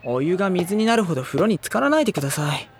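An actor playing a gentle, worrying high-school boy in a Japanese audio drama, soft-spoken and polite. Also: sing-song, very fast, background noise. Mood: caring, exasperated. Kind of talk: Japanese audio drama acting with introspective narration.

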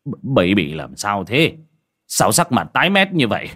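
Man acting stammering old father, swooping pitch. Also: huge pitch swings, normal speed, a bit bright, breathy edge, full character voice. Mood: alarmed. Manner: stammering, repeating words when flustered. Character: anxious, protective old rural father, stammering and kindly. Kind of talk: audiobook character acting.

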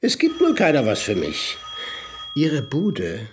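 An actor playing a stingy, jovially pushy house buyer in a radio drama, chatty and mock-aggrieved. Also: male voice, a little high, huge pitch swings, slow, background noise, heavily acted. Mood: jovial, wheedling.

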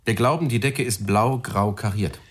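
Low-voiced, precise forensics man. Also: normal speed, husky. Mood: measured, confident. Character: male police forensics expert, precise, slightly showy. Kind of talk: German radio crime drama acting.

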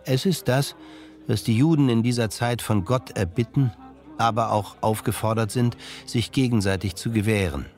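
A man's voice: medium pitch, normal speed, darkish tone. A man narrating a literary radio drama in scripted German storytelling style. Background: music.

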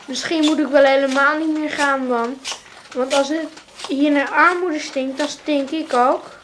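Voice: high voice, steady tone, a little slow, noisy background. A performer playing a sensible, bossy young son who is stuck in bed, matter-of-fact and precocious, in a radio play. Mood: reluctant, worried. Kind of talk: naturalistic radio drama acting.

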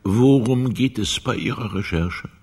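Husky, guarded male office boss. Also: mid-range voice, a little mellow. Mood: guarded, probing. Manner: brisk, guarded. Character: guarded, busy office boss, curt and probing. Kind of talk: naturalistic radio crime drama acting.

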